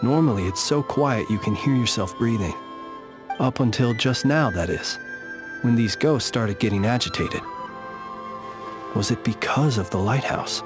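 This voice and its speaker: A calm, courteous and mysterious guardian from a video game, voiced by a man. He speaks formally and evenly in a mid-pitched voice, with music clearly behind him. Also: a little slow, long pauses, lightly acted. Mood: composed. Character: composed, courteous, enigmatic male lighthouse guardian.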